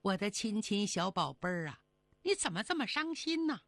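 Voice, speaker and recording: A woman's voice: mid-range voice, very animated, moderate pace, fairly bright tone, dry recording, clean studio sound. A woman voicing a vain, spoiled young moon goddess in a storytelling tale, coquettish and full of exclamations. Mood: doting, smug.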